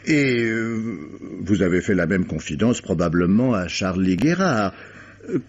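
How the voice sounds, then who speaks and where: A man's voice: deep voice, animated. An actor playing a dry, shrewd examining magistrate in a vintage French radio mystery, formal and ironic as he insinuates. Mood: dryly insinuating. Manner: formal, hesitating, ironic, deliberate phrasing. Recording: dull vintage radio recording.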